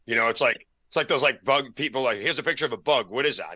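A man's voice: medium pitch, quick pace, pushed and bright, no echo. A man hosting a casual interview, asking friendly questions with enthusiasm. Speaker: podcast host.

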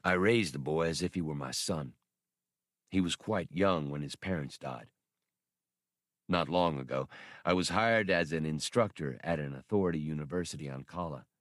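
A man voicing a courteous, grave older scholar in an audiobook, with formal, deliberate wording. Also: extremely low pitch, no room sound, studio quality. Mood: grave, regretful. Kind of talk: audiobook character dialogue.

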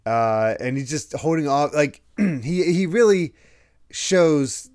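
Man, podcast host, croaky voice, casual chat, frequent chuckles. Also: a little high, lively intonation, slow pace, a little mellow. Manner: laughs often, retells scenes in quoted voices. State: croaky from a recent illness.

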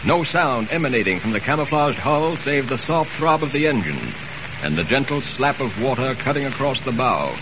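A man's voice: medium pitch, very animated, normal speed. A man narrating a 1940s radio serial in an urgent, theatrical style. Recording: noisy, muffled vintage radio recording.

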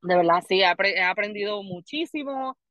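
A woman's voice: medium pitch, expressive, moderate pace, fairly bright tone, no room sound, lo-fi sound. A young adult woman with a Puerto Rican accent, a comedian guest chatting casually in rambling Spanglish with lots of restarts. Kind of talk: casual podcast chat.

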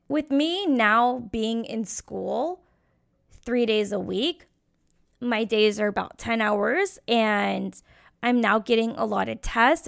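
A woman's voice: on the high side, vocal fry, a bit bright. A young woman hosting her own podcast, talking candidly in a casual solo monologue full of "like" fillers. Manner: candid, frank, frequent "like" fillers. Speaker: podcast host.

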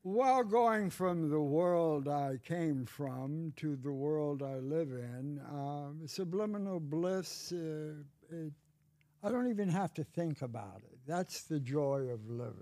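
A man in his eighties, a podcast guest, telling stories in a slow, wry and bawdy way. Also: fairly high pitch, warm tone, dry recording. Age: 80s. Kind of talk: casual chat.

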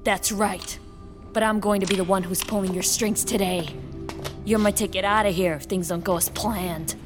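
A woman performs a menacing, unstable villain in a science fiction radio play. Her mid-range voice taunts with cocky menace, ready to snap, over clearly audible music. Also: medium pitch, fairly even intonation, moderate pace, lightly acted. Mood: threatening. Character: volatile, menacing female gunwoman holding a hostage. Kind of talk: audio drama acting. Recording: music under the voice.

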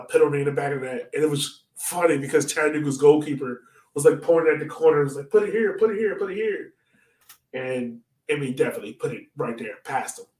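A man's voice: fairly high pitch, a little mellow. A man co-hosting a casual soccer fan podcast, talking informally and breaking down a match. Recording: echoey room, far from mic.

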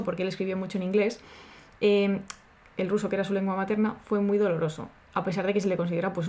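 A woman co-hosting a podcast in a lively, informal way, casually swearing as she talks. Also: mid-range voice. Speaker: podcast co-host.

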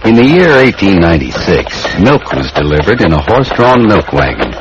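A man's voice: sits low, very animated, moderate pace. A man reading a scripted advertisement as an old-time radio announcer. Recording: muffled, very noisy old radio recording.

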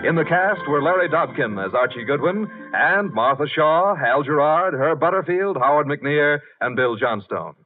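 A man's voice: a little high, lively intonation, normal speed, few pauses. A man reading scripted credits as a 1950 radio announcer, formal and emphatic. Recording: muffled old radio, music behind.

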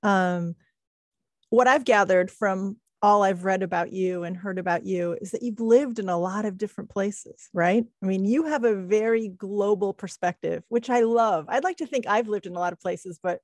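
A woman's voice: medium pitch, normal speed, a little mellow, no room sound, clean studio sound. A woman hosting an interview podcast, encouraging her guest with plenty of affirming interjections. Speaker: podcast host.

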